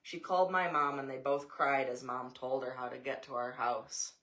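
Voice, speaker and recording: Low-voiced American woman reading aloud. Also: steady tone, moderate pace, fairly bright tone, slight background noise. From Montana, USA. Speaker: mother reading a story aloud. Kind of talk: read-aloud storytelling with casual asides.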